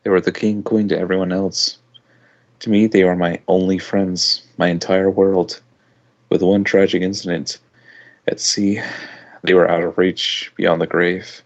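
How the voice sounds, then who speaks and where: A man reading a first-person story aloud informally, halting now and then with loose asides. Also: low voice, level tone. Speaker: amateur fan fiction reader. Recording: dull lo-fi home recording.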